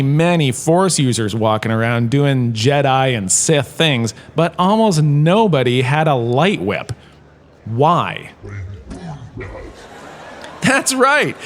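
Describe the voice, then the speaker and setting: A man's voice: fairly high pitch, a bit bright, a little hiss or hum. A man playing a vain, washed-up drama star lecturing as a chatty, self-satisfied professor in a comic audio drama. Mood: showy, enthusiastic, then excitable, boastful.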